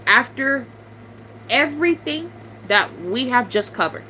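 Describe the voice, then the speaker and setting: Blunt, bright-voiced young woman venting. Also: high-pitched, expressive, a little slow, slight background noise, poor audio. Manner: blunt, exasperated, sarcastic. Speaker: YouTuber. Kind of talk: casual venting to viewers.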